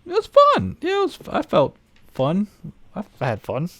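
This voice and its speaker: A man's voice: high voice, wildly swooping pitch, normal speed, fairly bright tone, no room sound. A man co-hosting a casual film review chat, joking and swearing freely. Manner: profane, joking. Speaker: co-host.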